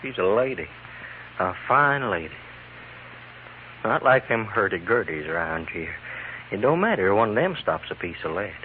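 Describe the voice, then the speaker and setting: Earnest, low-voiced young cowhand. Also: male voice, sing-song, moderate pace, pauses often. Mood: anxious, earnest. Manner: folksy drawl, plain-spoken. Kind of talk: 1950s radio western acting. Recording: muffled radio with background noise.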